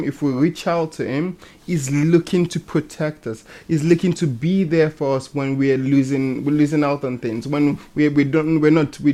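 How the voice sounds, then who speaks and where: A man's voice: fairly high pitch, warm tone. A man in a casual faith discussion, speaking hesitantly and often repeating words as he thinks. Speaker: panelist on a religious programme.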